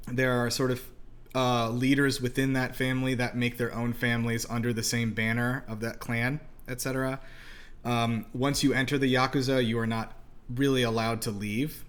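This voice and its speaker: A man's voice: mid-range voice, level tone, moderate pace. Casual man chatting as host. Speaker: host of a movie reaction show. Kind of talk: casual, conversational explainer and reaction chat.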